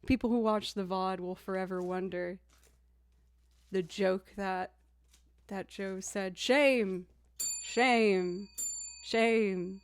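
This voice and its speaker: A young woman with a low alto voice, chatting casually on a live stream and thanking viewers as she talks. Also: fairly even intonation, slow pace, long pauses, smooth. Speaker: streamer and musician.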